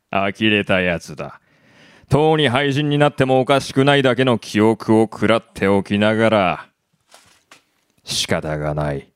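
An actor playing a calm, imperious company chairman in a radio drama, measured and polite but haughty. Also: on the low side, clean tone, dry recording, clean studio sound. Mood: sinister amusement. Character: calm, imperious male company chairman obsessed with human extremes. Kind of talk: Japanese radio drama acting.

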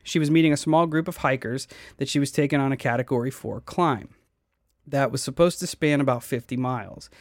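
A laid-back man hosting a casual podcast who swears freely and sometimes trips over hard names as he tells a mystery story. His voice sits at a middle pitch and is recorded close on a dry mic. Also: brisk, dry recording. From United States. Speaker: podcast host.

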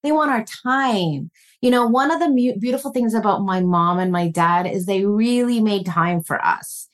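A woman's voice: medium pitch, normal speed. A woman relationship coach being interviewed, talking in an earnest, reflective way and telling family stories. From Filipino family, raised in North America. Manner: earnest, reflective, storytelling with warm laughs. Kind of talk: podcast interview conversation.

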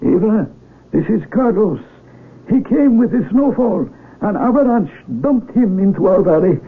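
Give this to an older village patriarch in a radio drama. His voice is very husky and pitched high, and he phrases things formally and deliberately. It sounds tinny, like an old broadcast. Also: animated, full character voice. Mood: solemn, welcoming. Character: father, learned elder of an isolated mountain valley village.

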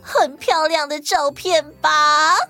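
A woman voicing a lively female kangaroo who eagerly explains herself in a children's detective story. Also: high voice, slow, faint music behind, pitched above the performer's own voice, full character voice. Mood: proud, eager.

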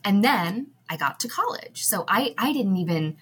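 A woman's voice: medium pitch, animated, normal speed, fairly bright tone, slightly rough. A woman, speaking as a podcast guest, thoughtful, with frequent fillers. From United States. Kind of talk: podcast interview.

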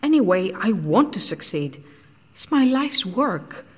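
An actress playing a guarded, earnest scientist in an audio drama, speaking precisely and dryly. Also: female voice, mid-range voice, very animated, unhurried, breathy edge. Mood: earnest, resigned. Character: guarded female scientist secretly making truth serum.

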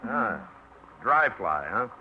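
An actor playing a gruff, teasing fisherman with dry, sardonic banter in a 1950s radio drama. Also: mid-range voice, big pitch swings, slow, a little hiss or hum. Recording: dull old radio broadcast.

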